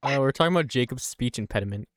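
Young male podcast co-host: stuttery, laughing, very lively swings in pitch, dry close sound. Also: racing, no echo. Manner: stutters often, laughs a lot. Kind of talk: casual chat.

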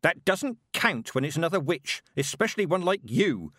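A man's voice: a little high, lively intonation, moderate pace, a bit bright, no room sound, clean studio sound, pitched above the performer's own voice. A man voicing a stern, blunt, proud old country witch woman with emphatic rustic speech, in audiobook style. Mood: angry, forceful. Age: older. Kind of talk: audiobook character acting.

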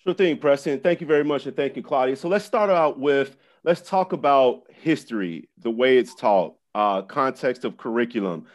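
A man co-hosting an education podcast, talking in a halting way with many fillers and restarts. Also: mid-range voice. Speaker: podcast co-host.

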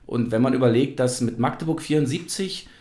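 A man's voice: mid-range voice, a little fast, dark tone. A man from East Germany hosting a casual football podcast, talking in a rambling, reminiscing way. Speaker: podcast host. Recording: roomy, echoey sound.

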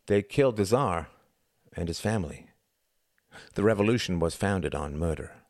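A man voicing a morally earnest younger son, murmuring, halting and weary, in a dramatised story reading. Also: deep voice, darkish tone, no room sound, studio quality, lightly acted. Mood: subdued, earnest.

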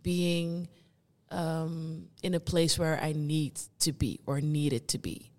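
A young woman speaking slowly and thoughtfully in a fairly low voice, pausing often as she searches for words. She is a guest in a conversation. Her voice carries a long hall reverb. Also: little pitch movement, a little mellow. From Netherlands. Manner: thoughtful, searching for words, many pauses and fillers. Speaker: guest performing artist. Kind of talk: reflective conversation.

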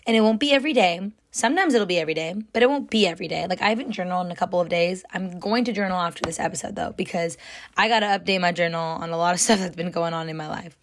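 A young woman with a raspy, somewhat deep voice hosting her own podcast, talking loudly and rambling with lots of fillers. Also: very fast. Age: young adult. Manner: chatty, loud, rambling with many fillers. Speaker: podcast host. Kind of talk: casual solo podcast talk.

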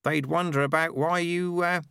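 A man's voice: fairly high pitch, steady tone, moderate pace, vocal fry, pushed and bright, clean tone, no echo, clean studio sound, pitched above the performer's own voice. A man putting on a boastful old milkman. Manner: chatty, boastful, sour at times. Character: boastful ex-horseman milkman, older man, touchy.

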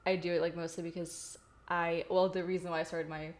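A young woman talks casually as a podcast guest. Her voice sits a little low. She tells her story in loose runs padded with "like.". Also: flat delivery, fairly quick, slight background noise. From Ukraine. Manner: chatty, filler-heavy storytelling with frequent likes.

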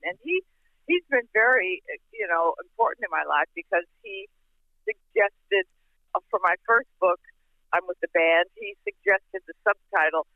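Lady in her 70s on a tinny phone call, lively and giggly, bouncy delivery. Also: mid-range voice, no echo. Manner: lively, giggly, candid storyteller. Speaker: guest. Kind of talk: casual phone interview.

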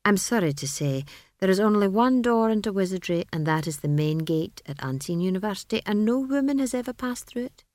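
A woman voicing a pompous, genially condescending old male wizard in an audiobook story, with airy, unhurried, patronising speech. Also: mid-range voice, animated, darkish tone, voice pushed up, lightly acted.

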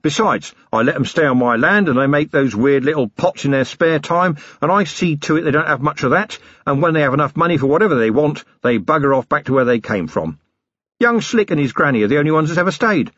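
A man doing a gruff, matey muck tycoon. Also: fairly high pitch, expressive, fairly quick, a bit bright, no echo, highs cut off, pitched above the performer's own voice. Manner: blunt, matey working-class bluster. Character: rough, ruthless older male scrap-and-muck tycoon. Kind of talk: audiobook character voice.

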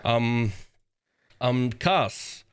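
A man co-hosting a community podcast, talking casually in a deep, commanding voice with a relaxed, playful way of cutting in. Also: drawn-out words, clean tone. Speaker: podcast co-host.

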